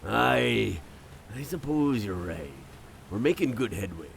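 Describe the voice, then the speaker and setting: A man playing a gruff, genial old-time ship captain in a nautical fantasy audio drama. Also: lowish voice, animated, slow pace, slight background noise, heavily acted.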